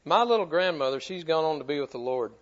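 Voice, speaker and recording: A man's voice: on the high side, expressive, fast, muffled recording. A man preaching a sermon to his church in a folksy, repetitive way, often stumbling over his words. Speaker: pastor.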